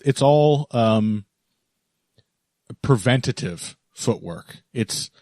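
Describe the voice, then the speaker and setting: A man's voice: medium pitch, darkish tone, no echo, studio quality. A male podcast co-host chatting casually and analytically about fights, teasing his co-host and laughing easily.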